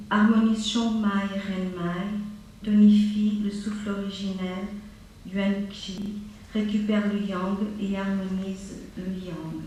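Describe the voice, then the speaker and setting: A woman teaching a class on acupuncture, going slowly and steadily through a list of treatment points. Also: medium pitch, dark tone, far from the mic, slight background noise. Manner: slow, measured delivery, listing items one by one. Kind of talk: lecture listing treatment points. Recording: very echoey room, voice from afar.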